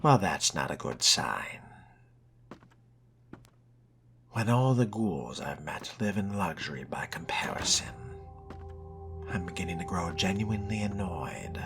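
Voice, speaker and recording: Man playing a deep, gravelly, haughty vampire. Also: sing-song, moderate pace, over music, exaggerated voice. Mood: annoyed, sardonic. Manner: pompous, dryly mocking, formal wording. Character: haughty, sardonic male vampire fledgling with refined disdain. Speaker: player role-playing a video game character. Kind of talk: in-character gameplay monologue.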